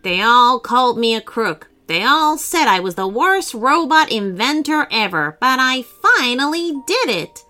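A nervous robot inventor played by a woman in a children's storybook, self-pitying and apologetic. The pitch swings widely and the words are drawn out, full of pleading drama, with music behind. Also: very animated, unhurried, hardly any pauses, pushed and bright, full character voice. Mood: proudly happy.

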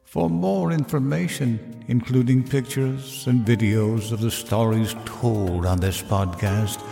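A man's voice: medium pitch, creaky. A man reading scripted podcast credits as an announcer. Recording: loud music under the voice. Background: loud music.

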